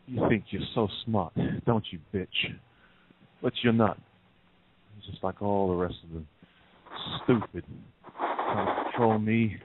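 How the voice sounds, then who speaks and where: A man's voice: on the low side, measured pace, long pauses, husky. An actor playing a volatile, bullying male kidnapper in an audio play, sneering and threatening. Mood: menacing. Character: menacing, volatile kidnapper, nervous and bullying man. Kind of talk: radio-play style acting. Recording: noisy, tinny phone-like line with clicks.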